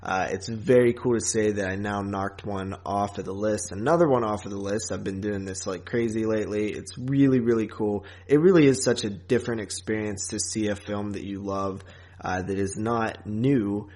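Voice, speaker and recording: A man with a fairly low voice co-hosts a film podcast. He talks casually and informally, with the open enthusiasm of a devoted fan. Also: steady tone, normal speed, a little mellow. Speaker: podcast co-host. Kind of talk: casual chat about horror films.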